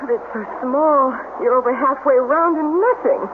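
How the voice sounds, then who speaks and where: A woman's voice: high voice, normal speed, lightly acted. An actress playing an anxious, stubborn woman trapped in a cave in a radio drama. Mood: uneasy, insistent. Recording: tinny, very noisy old radio broadcast.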